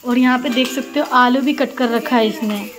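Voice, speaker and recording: A woman's voice: a little high, fairly even intonation, normal speed. A woman chats casually and ramblingly into a phone at home. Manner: chatty, rambling. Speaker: housewife vlogger. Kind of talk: casual chat to camera. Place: small home rooms, kitchen and balcony. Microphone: phone at arm's length. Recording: clear background noise, household ambience.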